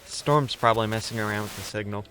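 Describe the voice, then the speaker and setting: An actor playing a laid-back, obliging bartender in an audio drama, talking casually and helpfully. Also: male voice, on the low side, fast, fairly bright tone, soft background music, close to an everyday voice.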